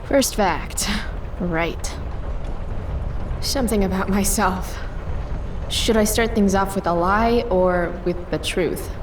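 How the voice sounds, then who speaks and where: A woman's voice: medium pitch, slow, long pauses, natural voice. A woman playing a sardonic, rebellious teenage girl in a video game, guarded but playful, with slangy, offhand delivery. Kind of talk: naturalistic video game dialogue. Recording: clear background noise, urban outdoor ambience.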